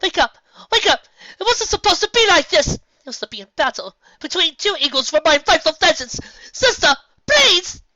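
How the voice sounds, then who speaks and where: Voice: extremely high pitch, big pitch swings, normal speed, hardly any pauses, sharp tone, no echo, voice pushed up, heavily acted. A reader voicing a proud, grieving alicorn princess who speaks in a commanding, archaic manner. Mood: frantic.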